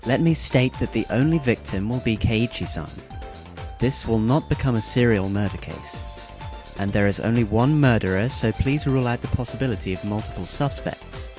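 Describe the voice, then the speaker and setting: A man playing a polite young game organizer in an audio drama, speaking in a formal, measured, explanatory way. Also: medium pitch, a little mellow, soft background music, lightly acted. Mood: composed, formal. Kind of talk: anime-style audio drama acting.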